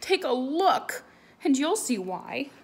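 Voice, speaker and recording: A woman's voice: sits high, very animated, slow, voice pushed up, full character voice. A woman voicing a glum, mopey male fish in a children's storybook.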